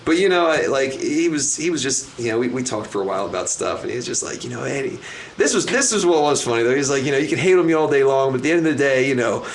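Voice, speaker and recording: Loud rambling man on a live show. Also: mid-range voice, lively intonation, fast. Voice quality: loud, rambling with many restarts. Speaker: guest, magician. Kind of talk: casual interview chat. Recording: faint noise, live stream.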